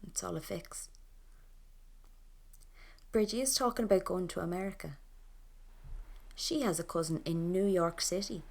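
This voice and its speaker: An actress with an Irish accent playing a young, war-weary rebel woman in an audio drama, in a colloquial, expressive first-person style. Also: fairly low pitch, a little slow, creaky, pauses often. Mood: weary, resigned.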